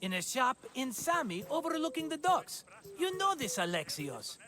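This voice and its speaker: A man's voice: very high voice, wildly swooping pitch, moderate pace, music in the background, full character voice. A man playing a glib, cheerful scheming merchant in a video game, talking in effusive, wheedling salesman patter. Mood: persuasive. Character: glib, cheerful scheming vineyard-owning merchant, male friend. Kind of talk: cinematic video game dialogue acting.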